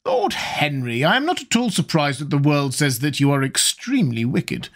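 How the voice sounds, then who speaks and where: A man's voice: a little high, big pitch swings, normal speed, fairly bright tone, full character voice. A talkative elderly hostess with a raised, fussy voice and a sing-song lilt, full of exclamations, as a male reader puts her on. She scolds and teases her guests in a storybook dinner scene. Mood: scolding. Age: older.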